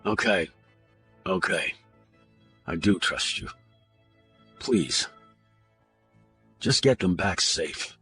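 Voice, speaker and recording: A man's voice: low-pitched, very animated, drawn-out words, long pauses, bright, forceful tone, slightly rough, soft background music, voice pushed down, full character voice. A man voicing a desperate, grieving father who speaks bitterly and pleadingly. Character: desperate, grieving father whose son is kidnapped. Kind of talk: audiobook narration.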